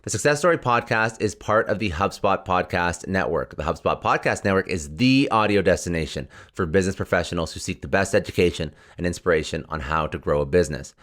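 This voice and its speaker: Low-pitched guy reading his podcast intro, steady and measured. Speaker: podcast host. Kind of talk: scripted intro.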